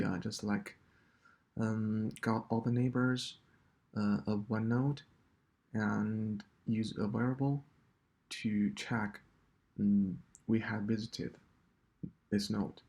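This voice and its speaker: A man walking through a coding solution step by step, in a halting explanatory way. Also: lowish voice, vocal fry, darkish tone. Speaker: programming tutor.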